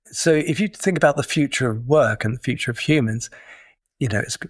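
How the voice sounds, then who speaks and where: A man's voice: mid-range voice, brisk, dry recording, clean studio sound. Thoughtful British man, middle-aged. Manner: thoughtful, explanatory, with small restarts. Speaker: guest, digital detox coach. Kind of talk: casual interview talk.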